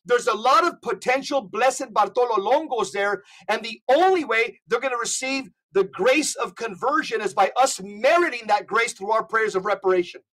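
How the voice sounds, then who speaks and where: A man speaking as a podcast guest, urging listeners with rapid lists of instructions. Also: very high voice, fairly bright tone, rough recording. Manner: urgent, exhorting, rapid lists. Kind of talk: casual podcast talk.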